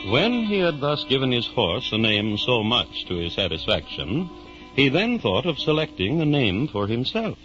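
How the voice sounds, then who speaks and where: Animated male old-time radio narrator. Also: mid-range voice, moderate pace, over music. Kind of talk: storybook narration, 1950s radio drama. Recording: dull vintage radio recording.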